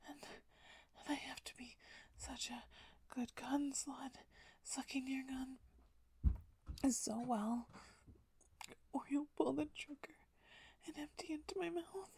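A woman performing an audio roleplay in a breathy, pleading whisper that often stammers. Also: high-pitched, a little mellow, no room sound.

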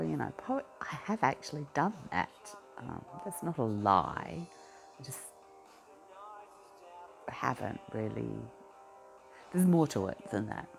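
Rambling Australian man, gravelly and slow. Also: mid-range voice. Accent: Australian, broadened by life in Queensland. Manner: meandering, easily sidetracked, many ums and restarts. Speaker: vlogger. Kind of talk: rambling personal chat. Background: a pop song playing.

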